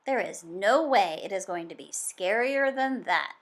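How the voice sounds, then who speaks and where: A woman's voice: medium pitch, animated, measured pace, natural voice. A woman voicing a cautious, squeamish schoolboy in a children's story, speaking carefully. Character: Bernard, cautious squeamish schoolboy friend of Sam.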